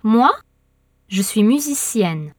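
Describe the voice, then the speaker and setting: A woman voicing a cheerful young female musician in a slow language-course dialogue. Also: mid-range voice, lively intonation, no echo, studio quality. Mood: friendly. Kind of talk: slow scripted textbook dialogue.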